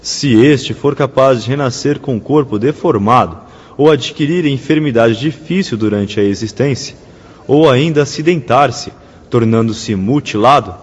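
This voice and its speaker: An actor playing a courteous, patient official in a radio drama, explaining things in a formal, measured, didactic way. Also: male voice, medium pitch, animated, big-room sound, lightly acted. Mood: solemn, explanatory. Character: courteous male spirit-world official patiently explaining procedures.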